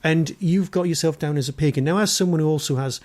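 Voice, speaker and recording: A man's voice: a little high, fast talker, darkish tone. A man interviewing casually, with tentative, rambling phrasing. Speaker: podcast interviewer.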